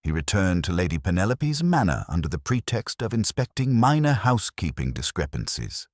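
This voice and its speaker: A man reading a scripted mystery story in a measured, formal storytelling manner. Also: sits low, sing-song, a little mellow, no echo, studio quality. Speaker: audiobook narrator.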